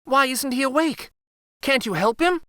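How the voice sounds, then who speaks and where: Storybook heroine read by a man: young, earnest, brave, bright tone with lively rises. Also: very high voice, moderate pace, clean tone, no echo, studio quality, pitched above the performer's own voice, heavily acted. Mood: pleading.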